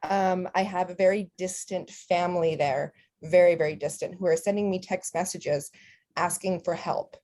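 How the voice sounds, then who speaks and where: Canadian-raised woman, Ukrainian first language, earnest founder pitch, warm low tone. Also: steady tone, moderate pace. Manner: heartfelt, earnest, fillers. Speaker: skincare company founder. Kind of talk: personal talk at an online orientation.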